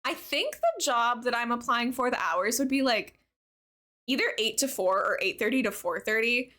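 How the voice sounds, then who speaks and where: A woman's voice: a little high, big pitch swings, normal speed, pushed and bright. A young woman livestreamer chatting casually with her viewers in a bubbly, talkative way. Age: young adult. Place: live game stream.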